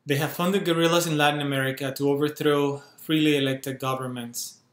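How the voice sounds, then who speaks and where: A man's voice: on the high side, steady tone. Earnest man in an echoey room. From Cuba. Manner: earnest and urgent, measured phrasing. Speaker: activist making an appeal. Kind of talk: earnest direct-to-camera appeal. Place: small room at home, indoors. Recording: roomy echo from a bare room.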